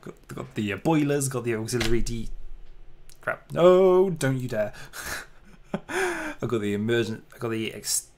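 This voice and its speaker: A man talking his way through a livestream at an easy, unhurried pace. His voice sits at an ordinary middle pitch, and he keeps up a chatty, self-deprecating patter with frequent exclamations. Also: big pitch swings, a little mellow. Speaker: 3D modeling livestreamer. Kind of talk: casual livestream chat.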